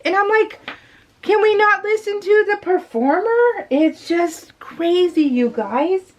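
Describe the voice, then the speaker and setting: A woman's voice: very high voice, animated, takes it slowly. A middle-aged woman vlogger chatting casually in a rambling, emphatic, confiding way. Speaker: craft vlogger. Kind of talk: casual chat.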